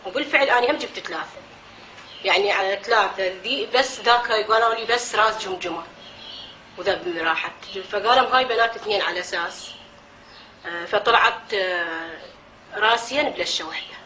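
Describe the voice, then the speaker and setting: A woman with an Iraqi Arabic accent speaks very quickly in a bright, forward tone. She recounts her experience as a mother in a matter-of-fact interview. A faint hiss sits under the voice. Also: medium pitch, low-quality recording. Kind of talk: recorded interview testimony.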